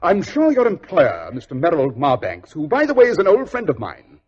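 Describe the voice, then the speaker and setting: An actor playing a shrewd, stern gentleman detective in a precise, theatrical 1940s radio style. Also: a little high, wildly swooping pitch, normal speed, heavily acted. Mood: stern, dry. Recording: dull, husky old radio recording.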